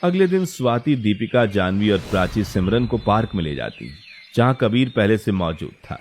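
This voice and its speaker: Animated male story narrator. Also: lowish voice, normal speed. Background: faint music.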